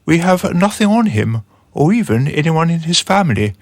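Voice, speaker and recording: Meek, boyish young trainee played by an actor. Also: male voice, a little high, sing-song, moderate pace, no echo, clean studio sound. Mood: nervous, formal. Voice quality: boyish, light voice. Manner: deferential, earnest, hesitant. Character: meek, earnest young male trainee called Boy. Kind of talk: radio drama acting.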